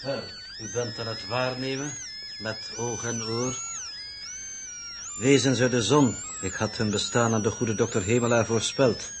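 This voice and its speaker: An actor playing a detached, enigmatic mission coordinator with formal, stilted speech in a 1960s Dutch radio drama. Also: male voice, medium pitch, fairly even intonation, measured pace, music in the background, close to an everyday voice. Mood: cryptic, detached. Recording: old noisy 1960s radio recording.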